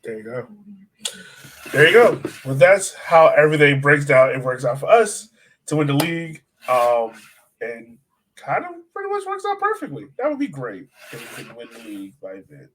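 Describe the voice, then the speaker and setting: A man's voice: fairly high pitch, big pitch swings, normal speed, a bit bright, a little hiss or hum, rough recording. A man co-hosting a soccer podcast in casual, slangy, conversational sports chat.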